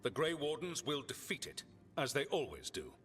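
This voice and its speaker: An actor playing a stern, dismissive military commander in a video game, speaking with pompous, deliberate authority. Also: male voice, fairly high pitch, very animated, fairly bright tone. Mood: grave, condescending. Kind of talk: dramatic video game dialogue. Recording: long reverb, music behind.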